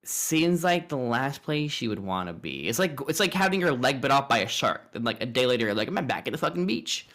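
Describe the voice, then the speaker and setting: A man's voice: mid-range voice, sing-song, fairly quick, few pauses, fairly bright tone. A young man chatting casually as a host, with a wry, slangy, sweary way of talking. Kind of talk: casual chat.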